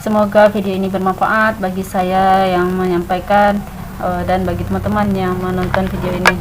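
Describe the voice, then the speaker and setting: Young woman, late twenties, casual to-camera talk, halting, lots of fillers, faint room noise. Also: mid-range voice, level tone. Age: 20s. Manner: hesitant, many "eh" fillers and restarts. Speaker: vlogger, stay-at-home mother.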